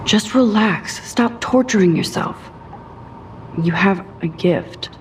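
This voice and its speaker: A woman's voice: mid-range voice, slow pace, a little hiss or hum, lightly acted. A young woman acting a shy, self-doubting, sarcastic teenage student in a video game, talking introspectively to herself. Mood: self-soothing.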